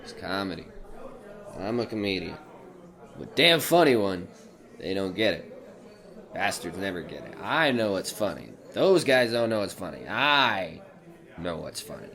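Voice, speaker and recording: Washed-up boozing comic male actor, low gravelly voice, bitter and defensive horror-drama grumbler. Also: sing-song, measured pace, long pauses, a bit bright, exaggerated voice. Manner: grumbling, defensive, world-weary. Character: bitter, drunken, down-on-his-luck male stand-up comedian. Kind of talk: retro horror audio drama acting.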